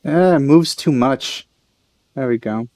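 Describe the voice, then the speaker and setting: A man's voice: medium pitch, slow, darkish tone, no room sound. A man giving casual let's play commentary in a laid-back, hesitant manner. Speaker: let's player.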